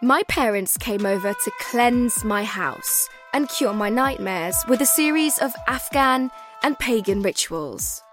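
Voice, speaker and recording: A woman's voice: a little high, expressive, measured pace, over music. A woman hosting a podcast, giving candid and wry scripted documentary narration.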